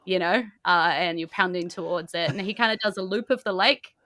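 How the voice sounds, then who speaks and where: Bright-voiced woman game master, close dry sound. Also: fairly low pitch, brisk, no room sound. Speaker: game master of a tabletop RPG podcast. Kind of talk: improvised game narration.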